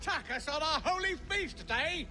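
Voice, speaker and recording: An actor playing angry enemy fighters who shout threats in battle. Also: male voice, extremely high pitch, animated, normal speed, over loud music. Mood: threatening.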